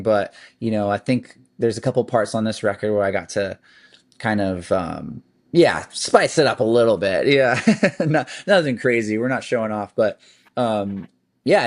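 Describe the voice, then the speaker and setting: A man's voice: lowish voice, vocal fry. A man, a band's singer, chatting casually as a podcast guest, rambling along with lots of "like" and "um". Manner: relaxed and rambling, with frequent "like" and "um". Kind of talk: casual interview chat.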